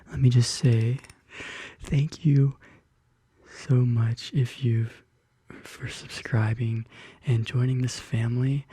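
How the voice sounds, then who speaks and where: A soft-spoken ASMR host murmuring and whispering intimately and slowly in a soothing bedtime-story style, close to the microphone. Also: medium pitch, slightly rough, dry recording. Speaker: ASMR video creator and host. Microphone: close studio condenser microphone.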